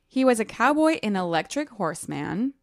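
A woman's voice: mid-range voice, very animated, moderate pace, dry recording, clean studio sound. A woman co-hosting a podcast, recapping a book in an informal, playful chat. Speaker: podcast co-host.

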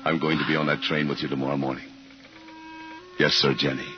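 Very deep-voiced, smitten man, pleading. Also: little pitch movement, over music. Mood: earnest pleading. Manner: halting, earnest. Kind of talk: 1950s radio acting. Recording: muffled, noisy 1950s radio recording.

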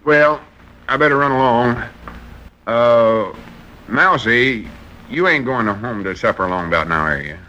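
A man's voice: mid-range voice, unhurried, pauses often, bright, forceful tone. An actor playing a folksy, roundabout rural man with a Southern US accent in 1940s radio comedy, hemming and hawing. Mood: wistful angling. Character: folksy rural man, hinting and roundabout, small-town schemer. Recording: noisy, dull 1940s radio recording.

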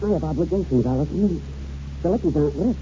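A dutiful, wistful young man, acted out in a 1940s radio play. The voice is very soft-toned and earnest, on a muffled broadcast recording. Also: a little high, animated, quick pace, slight background noise, lightly acted. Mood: resigned, wistful. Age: young adult.

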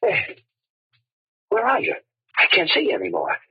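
Very husky, despairing condemned prisoner. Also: male voice, a little high, wildly swooping pitch, heavily acted. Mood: bewildered. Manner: weary, anxious, halting. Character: despairing, bewildered condemned prisoner, adult man. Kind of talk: 1930s radio drama acting. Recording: muffled old radio recording.

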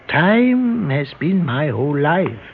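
An actor playing a kindly, talkative old European immigrant watchmaker with a European accent and courteous, halting foreign phrasing, in 1960s radio drama style. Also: male voice, fairly high pitch, big pitch swings, full character voice. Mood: friendly. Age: older. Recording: dull, noisy 1960s radio recording.

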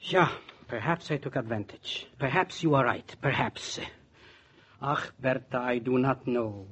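An actor playing a warm-hearted immigrant husband and servant with a German accent and emphatic, stilted English, in 1940s radio drama style. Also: male voice, medium pitch, unhurried, husky. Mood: earnest. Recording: dull, band-limited 1940s radio recording.

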